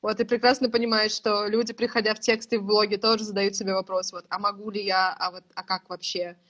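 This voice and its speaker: A woman's voice: mid-range voice, level tone, fast, a bit bright. A woman in her thirties, a writing course teacher, explaining at length in casual, thoughtful conversation and laughing now and then. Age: 30s.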